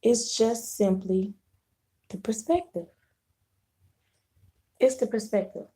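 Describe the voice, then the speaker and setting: A hoarse woman with a sore throat, hosting a casual live video chat with her viewers, rambling and restarting her sentences. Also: medium pitch, dark tone. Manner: unhurried, rambling, clears throat, frequent restarts.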